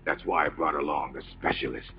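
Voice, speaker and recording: An actor playing a cold, demanding military officer in a video game, curt, dismissive and commanding. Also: male voice, extremely low pitch, steady tone, a little fast, vocal fry, noisy background. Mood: cold, impatient. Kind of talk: scripted video game voice acting. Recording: muffled lo-fi game audio with music.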